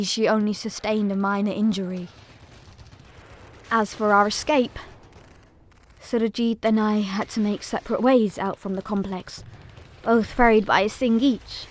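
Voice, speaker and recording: A woman narrator giving a scripted first-person dramatic account in a solemn, measured storytelling manner. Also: medium pitch, a little hiss or hum.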